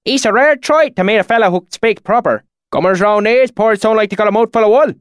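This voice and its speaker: A man's voice: sits high, sing-song, normal speed, no echo, clean studio sound, voice pushed up. A man doing a grizzled backwoods swineherd with a thick, oily dialect. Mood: friendly.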